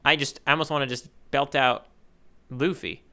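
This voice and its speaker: A man's voice: mid-range voice, steady tone, moderate pace, creaky, sharp tone, clear, resonant tone. A man co-hosting a podcast as a game contestant, chatting casually and thinking aloud as he wavers between guesses. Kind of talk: casual chat, playful quiz banter.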